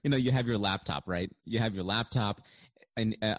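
Low-voiced jokey podcast host. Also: male voice, a little fast. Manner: jokey, rambling, many restarts and laughs. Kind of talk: casual podcast chat.

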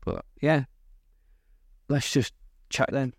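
A man's voice: medium pitch, animated, slow pace, creaky, no echo, clean studio sound. A man, co-hosting a podcast in casual chat, rambling and laughing often. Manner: chatty, laughs often, trails off mid-sentence. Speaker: podcast co-host. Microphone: podcast mic.

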